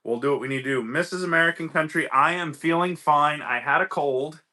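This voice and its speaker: A man's voice: on the high side, brisk, bright, forceful tone. A man hosting a casual livestream, greeting viewers by name, a little stuffy from a cold. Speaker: livestream host.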